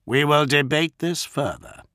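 A man's voice: fairly high pitch, measured pace, bright, forceful tone, no echo, studio quality, pitched above the performer's own voice. A man voicing a proud, suspicious male warrior lord who speaks curtly and commandingly. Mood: stern. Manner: curt, commanding.

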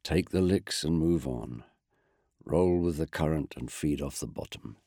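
Weary, flat-voiced squadron commander read by a man, very deep and dry. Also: a little slow, vocal fry, breathy edge, no room sound, exaggerated voice. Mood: brooding.

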